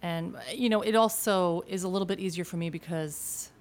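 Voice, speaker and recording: Low-voiced singer from Argentina. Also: female voice, moderate pace. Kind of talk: casual conversation.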